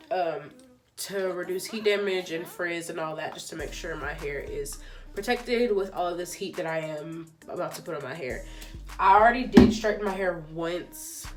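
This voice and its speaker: A young woman with a stuffy, congested nose, chatting casually through a hair tutorial with lots of ums and likes. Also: medium pitch. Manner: chatty, filler-heavy, relaxed. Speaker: beauty vlogger.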